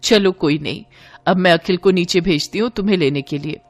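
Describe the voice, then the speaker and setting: A woman voicing a gentle, patient middle-aged mother-in-law with a mild, coaxing manner in a family story. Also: lowish voice, fairly quick, soft background music, close to an everyday voice.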